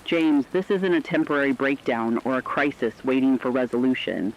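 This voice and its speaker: A solemn crisis-radio anchor acted by a man speaks in measured, dramatic lines at a middling pitch. The sound is dull and lo-fi, like an emergency broadcast in a radio drama. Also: slight background noise, close to an everyday voice. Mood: somber, urgent. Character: somber radio news anchor reporting a collapsing war. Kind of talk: scripted fictional emergency news broadcast.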